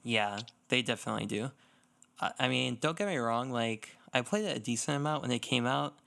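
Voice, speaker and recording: Smooth, clear-voiced young man chatting casually. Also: medium pitch, fairly quick, pushed and bright. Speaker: podcast co-host. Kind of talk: casual gaming podcast chat.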